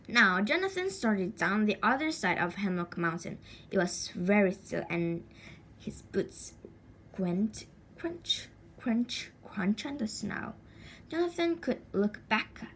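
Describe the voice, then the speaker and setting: A woman reading a children's story aloud in an expressive, deliberate way with a sing-song lilt. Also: mid-range voice, slight background noise. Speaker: storybook reader.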